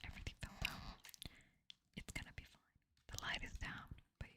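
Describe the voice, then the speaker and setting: A woman whispering a late-night rant about a book at her desk, exasperated and swearing casually, close to a desk microphone. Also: normal speed. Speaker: book vlogger.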